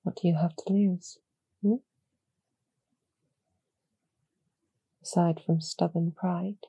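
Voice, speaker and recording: A woman playing a calm, courteous female demon general in a roleplay audio, speaking softly with measured, gently formal persuasion and a husky, creaky edge. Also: on the low side, dry recording, dull audio. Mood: solemn, coaxing. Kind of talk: slow, soothing scripted roleplay audio for sleep.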